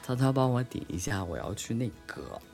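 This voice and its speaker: Man, music behind, casual stream co-host, swooping pitch, rapid speech. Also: lively intonation, creaky, a little mellow. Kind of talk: casual live chat.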